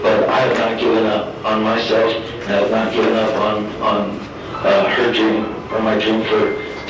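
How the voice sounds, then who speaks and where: A man in his forties, an inmate speaking at a parole hearing, halting and soft-spoken, often repeating himself. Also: lowish voice. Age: 40s. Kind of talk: formal hearing testimony. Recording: echoey distant room with noise.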